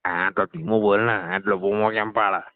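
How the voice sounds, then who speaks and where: Man acting evasive garage customer, needling, nonchalant, deeper voice, comedy phone call, dull close recording. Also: flat delivery, moderate pace, no room sound, natural voice. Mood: nonchalant, cheeky. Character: cheeky, evasive male garage customer dodging payment. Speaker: performer in a scripted comedy call.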